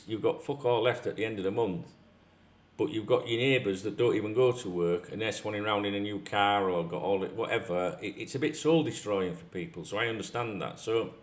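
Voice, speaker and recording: A man's voice: mid-range voice, a bit bright. Echoey middle-aged Northern English man. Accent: Northern English, North Yorkshire. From North Yorkshire, England. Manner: blunt, sweary, conversational. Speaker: YouTube host. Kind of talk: casual opinionated talk to camera. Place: large tiled-floor room at home, indoors. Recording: echoey room.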